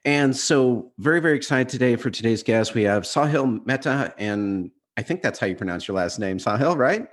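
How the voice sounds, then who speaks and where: A man's voice: mid-range voice, animated, moderate pace. A man hosting an interview podcast over a video call, asking loose, friendly questions that he often restarts. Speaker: podcast host.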